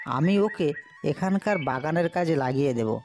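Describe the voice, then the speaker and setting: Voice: medium pitch, lively intonation, moderate pace, darkish tone, faint music behind, natural voice. An eager young cartoon villager, voiced by an actor. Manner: eager, polite. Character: eager young male villager, helpful friend. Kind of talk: cartoon voice acting.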